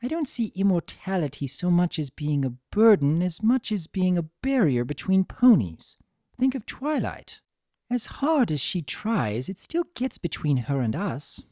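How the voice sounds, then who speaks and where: A reader voicing a vain, refined fashionista pony mare with a genteel, dramatic and expressive delivery in a story. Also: medium pitch, no echo, clean studio sound, voice pushed up, natural voice.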